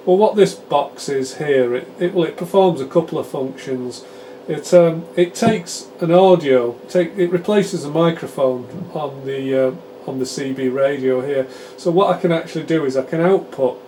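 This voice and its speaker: A British man gives an informal hands-on technical explanation as a presenter, chatty and halting as he explains things. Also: on the high side, darkish tone, slight background noise. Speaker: presenter demonstrating radio repair equipment.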